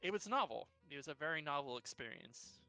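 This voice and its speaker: Youthful-sounding man in his thirties, bright. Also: on the high side, expressive, brisk, soft background music, rough recording. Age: 30s. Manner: rambling, many restarts, laughs at himself. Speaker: tabletop game player. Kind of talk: casual chat between players.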